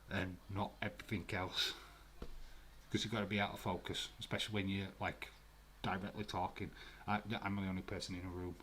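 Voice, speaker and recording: A man's voice: fairly low pitch, a bit bright, slight background noise. A man with a Glasgow accent hosting a live watch-along stream from a room at home, chatting casually with viewers in a rambling way with many fillers. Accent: Glaswegian. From Scotland.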